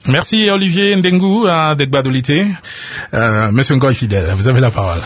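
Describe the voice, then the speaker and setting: A man's voice: mid-range voice, a little fast. A man hosting a radio call-in and moderating questions. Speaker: radio host. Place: radio broadcast. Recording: dull noisy radio broadcast.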